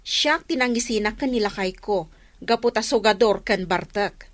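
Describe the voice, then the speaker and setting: A woman's voice: mid-range voice, moderate pace, no room sound, close to an everyday voice. A woman playing an indignant village woman in a radio drama, with an Ilocano accent.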